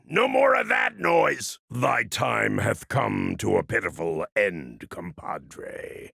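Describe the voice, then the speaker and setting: Video game role: old cyborg war veteran, heavy vocal grit, unhurried folksy delivery, wry swagger. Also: male voice, mid-range voice, sharp tone, no echo, studio quality, exaggerated voice. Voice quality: gravelly, husky. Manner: folksy slangy drawling wisecracks, calls others kiddo. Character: grizzled folksy old male cyborg soldier, wry and cocky. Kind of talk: video game character barks.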